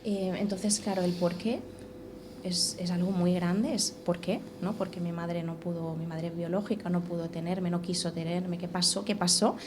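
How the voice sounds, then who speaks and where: A woman's voice: lowish voice, moderate pace. Woman telling her story, noisy. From Catalonia. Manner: animated, repeats and restarts phrases. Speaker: podcast guest. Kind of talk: casual conversational storytelling. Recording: steady background noise.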